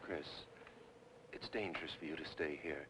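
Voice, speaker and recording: An actor playing a rational, concerned suitor, firm and earnest, in an old film noir. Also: male voice, a bass voice, moderate pace, background noise. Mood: concerned, insistent. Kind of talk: 1940s film noir acting. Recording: old film soundtrack with music behind.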